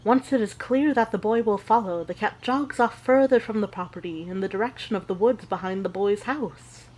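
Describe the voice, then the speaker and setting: A woman playing a witch who narrates an audio drama with measured, deliberate storytelling. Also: mid-range voice, darkish tone, lightly acted, poor audio.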